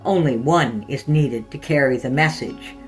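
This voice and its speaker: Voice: mid-range voice, a little slow, recorded from a distance, soft background music, lightly acted. A narrator voicing a menacing ancient spirit in a boy's body, speaking in clipped, archaic, imperious phrases. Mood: cold, commanding.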